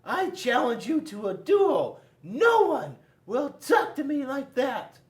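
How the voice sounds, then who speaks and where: Shrill, indignant little apricot. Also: male voice, wildly swooping pitch, slow, exaggerated voice. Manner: shrill, protesting. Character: indignant, boastful little male apricot fruit. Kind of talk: storybook read-aloud. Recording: echoey room.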